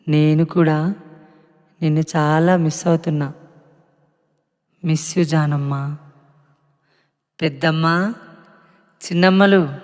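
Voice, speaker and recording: The spirit of a murdered young woman, voiced by a man, mourning and pleading with her family. The voice sits fairly high and the words come very slowly. A long reverb trails behind every phrase. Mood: tender, sorrowful. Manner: pleading, sorrowful address to family. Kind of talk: emotional monologue.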